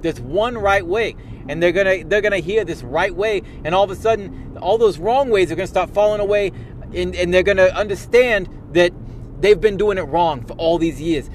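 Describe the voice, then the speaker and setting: A man's voice: high voice, normal speed, a little hiss or hum, natural voice. A man playing a pompous, self-important tabletop gaming expert who lectures in a rambling way, stumbling over restarts and repeating himself. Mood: smug. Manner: boastful and lecturing. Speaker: self-styled podcast expert. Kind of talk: parody monologue.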